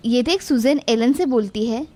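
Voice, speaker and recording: A woman narrating an animated children's story in a steady, clear storytelling manner. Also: a little high, normal speed.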